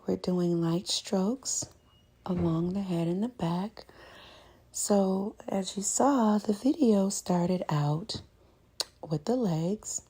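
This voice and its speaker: Slow, creaky-voiced woman host. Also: mid-range voice, warm tone. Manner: unhurried, chatty, welcoming. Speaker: host and narrator of an ASMR scratch-therapy channel. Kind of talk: casual ASMR voiceover commentary.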